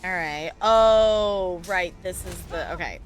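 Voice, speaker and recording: A woman's voice: on the low side, slow, soft background music. A woman chatting casually on a gaming livestream, playful and self-deprecating, with theatrical exclamations. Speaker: live streamer.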